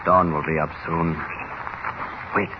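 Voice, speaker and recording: An actor playing a fearless, commanding superhero in a declamatory, taunting 1940s radio style. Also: male voice, a bass voice, little pitch movement, slow pace. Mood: brooding, watchful. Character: Superman, commanding costumed hero, deep-voiced and fearless. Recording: noisy old radio recording, muffled.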